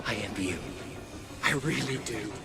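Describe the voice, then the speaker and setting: A man playing an unhinged, grandiose scientist villain in a video game, coldly soothing and pompous in florid, deliberate phrases. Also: on the low side, gravelly. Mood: gloating calm. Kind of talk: dramatic video game acting. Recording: loud music over the voice.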